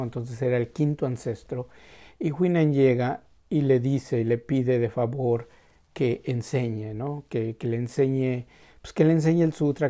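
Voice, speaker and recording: Man, warm mellow tone, mid pitch, casual halting storytelling, lots of restarts. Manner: halting, many restarts and tag questions.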